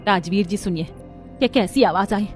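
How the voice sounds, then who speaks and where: A woman's voice: mid-range voice, normal speed, darkish tone, faint music behind, natural voice. A woman playing an outspoken, caring middle-aged neighbourhood aunty in a cartoon family drama, speaking emphatically. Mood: curious, worried. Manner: admonishing, emphatic.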